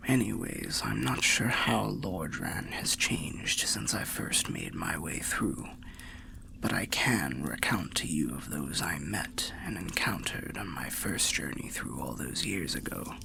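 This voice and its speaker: A man voicing a weary, kindly old undead warrior who rambles gently in a breathy, gravelly half-whisper, like a fantasy ASMR storyteller. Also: low-pitched, lively intonation, heavily acted. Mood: musing, cautionary. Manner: slow, rambling, archaic, gently cautionary storyteller. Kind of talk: ASMR fantasy roleplay monologue.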